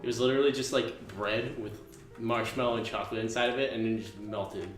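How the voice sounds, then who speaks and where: A man's voice: medium pitch, steady tone, a little fast, echoey room, music in the background. A man of twenty chatting casually as a podcast co-host, rambling through a story with lots of fillers. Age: 20s. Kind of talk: casual chat.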